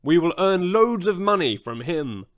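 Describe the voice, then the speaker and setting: A man voicing a greedy, wicked bully of a villager in a storybook, gruffly commanding. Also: high voice, sing-song, unhurried. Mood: menacing, gloating.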